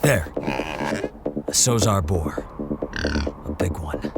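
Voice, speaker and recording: An actor playing a hard-bitten lone monster hunter in a science fiction audio drama, speaking in terse, dramatic lines. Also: male voice, a bass voice, expressive, takes it slowly, loud music behind the voice, exaggerated voice. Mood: wary, tense. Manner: terse, self-narrating, dramatic.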